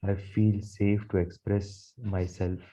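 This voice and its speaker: A man leading a guided meditation in a soothing, measured manner, repeating phrases as he goes. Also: deep voice, flat delivery, dark tone. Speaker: guided meditation leader, Reiki teacher.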